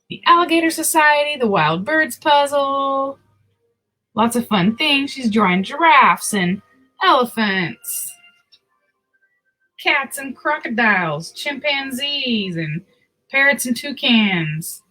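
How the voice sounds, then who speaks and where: A woman's voice: on the high side, sing-song, slow pace, pauses often, bright, forceful tone, soft background music, rough recording. A woman hosting a picture book read-aloud, telling the story expressively with casual asides.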